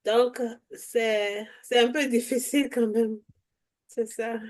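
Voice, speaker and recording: Mom on a video call, french not her first language, talks slow and halting, kinda high voice. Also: fairly even intonation. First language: a language other than French. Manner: halting, searches for words. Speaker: parent participant. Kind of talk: sharing experience in a webinar.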